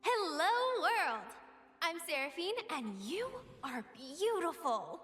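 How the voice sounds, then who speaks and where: A woman's voice: very high-pitched, slow pace, in a large hall, faint music behind, heavily acted. A woman playing a bubbly, earnest and wholesome young pop star in a video game, with a sweet, youthful voice. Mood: earnest, hopeful. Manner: animated, earnest, upbeat. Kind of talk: game character voice lines.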